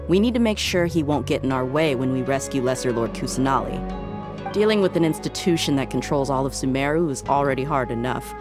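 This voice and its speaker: An actress playing a confident, breezy young female mercenary in a video game, with a playful, teasing manner. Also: sits low. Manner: playful, smooth teasing, self-assured.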